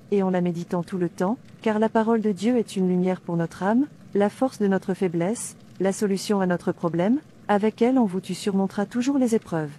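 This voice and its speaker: Woman acting devout female preacher, cartoon narration, mellow tone, mid pitch, solemn, didactic. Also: a little hiss or hum, lightly acted. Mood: reassuring. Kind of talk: scripted religious narration and cartoon dialogue.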